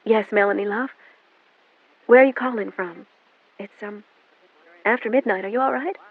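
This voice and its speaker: A woman's voice: medium pitch, normal speed, no room sound, voice pushed up, lightly acted. A woman reading an audiobook voices a frantic middle-aged mother, her lines pleading and rising, on a narrow, dry recording. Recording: narrow phone-like band, dry.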